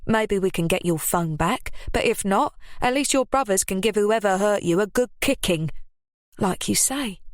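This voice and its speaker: A woman reading an audiobook, voicing a calm, controlled woman who asks pointed questions in an even tone. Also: medium pitch, moderate pace, dry recording, clean studio sound, close to an everyday voice. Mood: cool.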